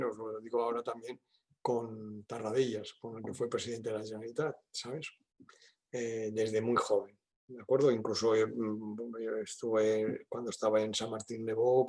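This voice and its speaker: A man's voice: mid-range voice, a little mellow. An older man from Catalonia with a Spain Spanish accent, a professor answering questions informally in a discursive, emphatic way, full of little question tags. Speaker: university professor and guest speaker.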